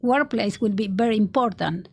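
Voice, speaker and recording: A woman's voice: medium pitch, normal speed, husky. A woman from Argentina with an Argentine Spanish accent, a native Spanish speaker, giving earnest interview answers with many restarts. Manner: earnest, with frequent restarts and soft laughs. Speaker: marketing executive guest.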